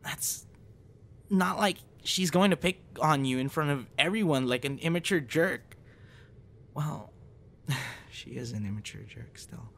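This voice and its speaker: A young man performing an intimate audio roleplay as a troubled guy confiding in a close friend after a breakup, halting and stammering. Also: on the high side, creaky, a bit bright, full character voice. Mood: indignant, reassuring. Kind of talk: intimate ASMR boyfriend roleplay, acted monologue. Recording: noticeable background noise.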